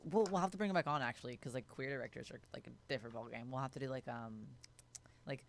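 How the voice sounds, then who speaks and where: A man co-hosting a podcast who works as an editor, chatting in an animated, emphatic way with quick asides. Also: medium pitch, creaky, slight background noise. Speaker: podcast co-host and video editor. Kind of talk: casual chat.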